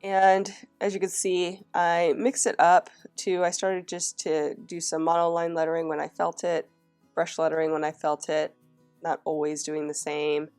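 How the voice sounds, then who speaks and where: A young woman talks through her planner pages in a relaxed, chatty way, pausing on fillers and starting her sentences over. Her voice sits very low and is rough and scratchy from a cold. Faint guitar music plays softly behind her. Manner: chatty, with frequent fillers and restarts. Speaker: planner vlogger. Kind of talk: casual planner flip-through narration.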